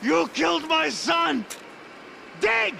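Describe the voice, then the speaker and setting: A man playing an enraged, grieving mobster father out for revenge in a cinematic video game, with gravelly, profane outbursts. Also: very high-pitched, big pitch swings, very noisy, heavily acted. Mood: screaming rage. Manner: shouting, drawn-out profane outbursts. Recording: loud music behind the voice.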